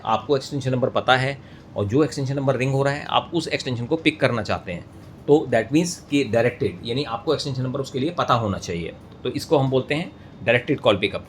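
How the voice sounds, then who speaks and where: An Indian man presenting a step-by-step technical tutorial, mixing English terms into his speech and often rephrasing as he explains. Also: mid-range voice. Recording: clear background noise, room ambience.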